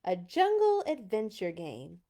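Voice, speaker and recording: A woman's voice: mid-range voice, huge pitch swings, unhurried, mellow. Woman as a bossy, emphatic young girl. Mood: bossy, reading out. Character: young girl, bossy, eager older sister.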